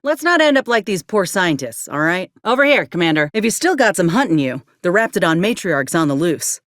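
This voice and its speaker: A woman's voice: lowish voice, sing-song, a little fast, fairly bright tone, no room sound, clean studio sound. Folksy Southern frontier woman, swinging intonation. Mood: matter-of-fact. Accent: Southern American. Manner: plainspoken, wry, folksy. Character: plainspoken, wry frontier woman, tough and observant companion. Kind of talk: video game companion dialogue.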